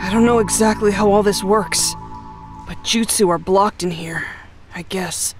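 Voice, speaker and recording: A woman voicing a spirited, stubborn, kind-hearted young ninja hero in an anime game dub, earnest and expressive. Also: mid-range voice, a little slow, over music, natural voice. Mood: determined, earnest.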